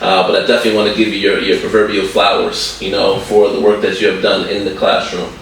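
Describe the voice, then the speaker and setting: A man's voice: deep voice, monotone, fast talker, creaky, fairly bright tone. A man hosting a school podcast in a casual, joking way, full of fillers and asides. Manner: chatty, joking, lots of fillers. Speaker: podcast host. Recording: echoey room, distant mic, faint noise.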